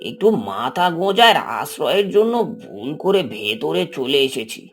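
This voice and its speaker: An actor playing a humble old shopkeeper in a cartoon, pleading meekly and apologetically in a folksy way. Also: male voice, on the high side, very animated, moderate pace, gravelly, fairly bright tone, faint music behind, full character voice. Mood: apologetic pleading. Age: older. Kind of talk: cartoon voice acting.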